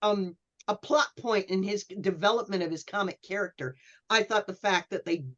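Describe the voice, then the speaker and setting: A woman speaking as a panelist in an analytical, scholarly way, with halting phrasing. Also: medium pitch, a bit bright, highs cut off. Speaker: podcast panelist.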